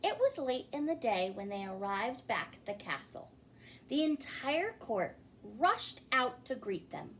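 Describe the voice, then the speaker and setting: High, animated woman storyteller, unhurried. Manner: addresses young listeners with questions. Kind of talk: expressive children's read-aloud. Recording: faint background noise.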